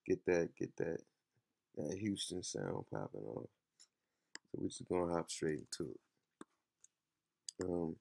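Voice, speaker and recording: A man giving a casual software tutorial in a laid-back, slangy way. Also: low-pitched, gravelly, no echo. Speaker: music production tutorial host.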